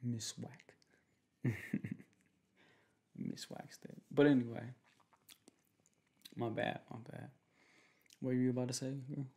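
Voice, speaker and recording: A young man speaking in a casual, slangy, halting way in an ASMR boyfriend roleplay. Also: medium pitch, steady tone, a little mellow. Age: young adult. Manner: slangy, laid-back, halting with repeated phrases. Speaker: ASMR creator playing a protective boyfriend. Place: small home room, indoors.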